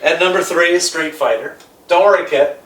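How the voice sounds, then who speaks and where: A man's voice: a little high, normal speed, fairly bright tone. A man co-hosting a podcast, talking emphatically and with swearing in a casual group debate. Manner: emphatic, profane. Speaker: podcast co-host. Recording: echoey room, distant from mic.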